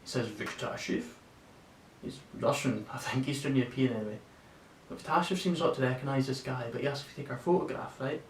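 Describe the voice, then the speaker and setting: A nineteen-year-old man playing a sweary, awestruck young lad, telling a story in a halting, conversational stage monologue. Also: lightly acted. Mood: incredulous. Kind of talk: naturalistic contemporary stage monologue for an audition. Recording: echoey room, distant mic, noisy rumble.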